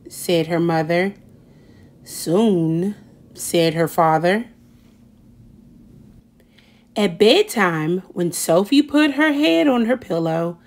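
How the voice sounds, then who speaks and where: A woman narrating a picture book for children as a storyteller, with expressive, dramatic emphasis on key words. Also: mid-range voice. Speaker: children's read-aloud storyteller.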